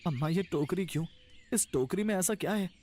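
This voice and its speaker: An actor playing a kind-hearted, troubled young farmer husband in a cartoon story, earnest and plaintive. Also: male voice, high-pitched, fairly quick, dark tone. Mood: puzzled. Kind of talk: Hindi cartoon story acting.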